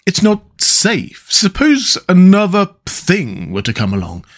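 A man voicing a gentle male mole who talks in a worried, pleading way. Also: high-pitched, wildly swooping pitch, a little slow, voice pushed up, exaggerated voice. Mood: anxious.

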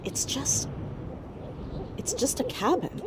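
An actress playing a determined, curious young woman sleuth in an audio drama, with a hushed, urgent, natural delivery. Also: high-pitched, very animated, slow, noisy background, heavily acted. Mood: hushed, uneasy.

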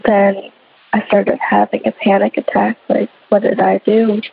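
A woman's voice: medium pitch, flat delivery, a little slow, creaky, husky. A woman giving a hesitant, subdued personal testimony. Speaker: testimony speaker. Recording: tinny phone-like recording.

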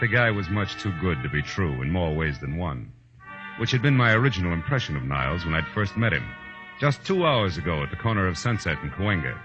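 An actor playing a tough, cynical private eye in a 1940s radio drama, with a wry, clipped hardboiled delivery. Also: male voice, deep voice. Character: tough, cynical male private eye narrating his case. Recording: muffled vintage radio recording with music.

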